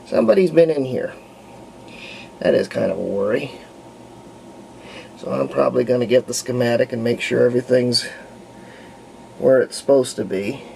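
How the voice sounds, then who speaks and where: A man's voice: medium pitch, animated, moderate pace, creaky, pauses often, dark tone, slight background noise, poor audio. A man with a folksy way of talking narrates hands-on repair work casually, thinking aloud. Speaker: radio restoration hobbyist.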